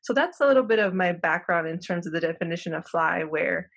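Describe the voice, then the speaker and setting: A woman's voice: on the low side, fairly quick, vocal fry. Chatty woman guest on a video call. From Los Angeles County, California. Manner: relaxed and chatty, many ums and small laughs. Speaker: guest, professor. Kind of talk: casual interview conversation. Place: home room, video call. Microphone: video call computer mic.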